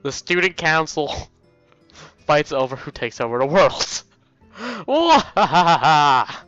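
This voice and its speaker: A man's voice: on the high side, sing-song, slow pace, fairly bright tone, no echo, exaggerated voice. Bubbly visual novel schoolgirl, male reader, teasing and exaggerated, muffled recording with music underneath. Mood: gleeful, teasing. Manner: cheerful, exaggerated. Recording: dull, lo-fi mic.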